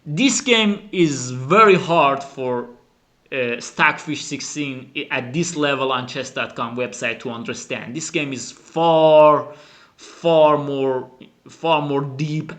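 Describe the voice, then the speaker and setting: A man who is a non-native English speaker gives animated, emphatic chess commentary as a teacher, dramatizing the game. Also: mid-range voice, takes it slowly. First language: not English. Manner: dramatic, emphatic, acts out the players' thoughts. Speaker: chess teacher and channel host.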